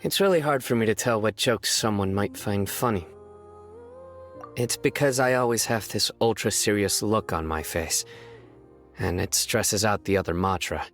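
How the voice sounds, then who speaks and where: A serious young game lawman, kinda low voice, dry n earnest delivery, some music playing under it. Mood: earnest, reflective. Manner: deadpan, earnest, measured. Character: serious, stoic young male lawman who earnestly tells bad jokes. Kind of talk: video game character voice acting.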